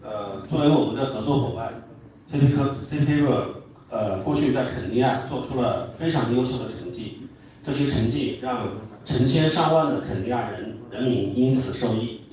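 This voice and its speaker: A man's voice: medium pitch, darkish tone. Male Chinese company speaker, hesitant and formal, far from mic with faint noise. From China. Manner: halting, hesitant delivery. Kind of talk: formal speech. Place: large indoor hotel event room. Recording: very echoey hall, distant mic, faint noise.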